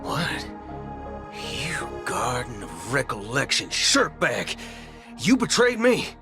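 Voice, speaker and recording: A brash, scheming cyborg gunslinger. He talks slowly with a swaggering, mocking edge, and his pitch swings widely. Loud game music plays under him. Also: wildly swooping pitch. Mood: angry. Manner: swaggering, mocking, swaps curses for mild words. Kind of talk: video game voice acting.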